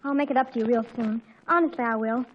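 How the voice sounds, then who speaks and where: High, fast-talking, dramatic teenage girl. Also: steady tone, breathy edge. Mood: earnest. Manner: earnest, flustered, affectedly grown-up wording. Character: dramatic, fretful teenage daughter, boy-crazy and earnest. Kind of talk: 1940s radio sitcom acting. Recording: muffled, noisy 1940s radio recording.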